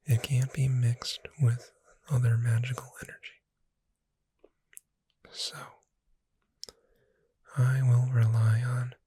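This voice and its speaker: A gentle witch who keeps a bookshop, acted out by an ASMR performer, whispering very breathily and slowly. The voice is soft and soothing, speaking straight to the listener in a close, dry sound. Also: mid-range voice, slightly rough, no room sound. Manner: slow, gentle, soothing, addressing the listener directly.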